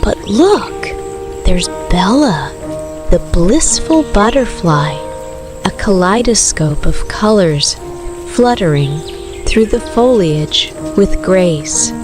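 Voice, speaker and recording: A woman narrates a children's bedtime story at a very slow pace. Her delivery is gentle and soothing, as if speaking to small children settling down to sleep. Clear background music plays under her voice, along with rainforest night sounds of crickets and frogs. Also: medium pitch, sing-song. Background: music with rainforest night sounds of rain, crickets and frogs.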